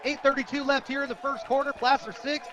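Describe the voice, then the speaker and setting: A man calling live high school football play-by-play for radio, describing each moment in detail. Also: little pitch movement, fairly quick, a faint crowd. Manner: descriptive, rising to shouts on big plays. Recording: dull, lo-fi radio broadcast.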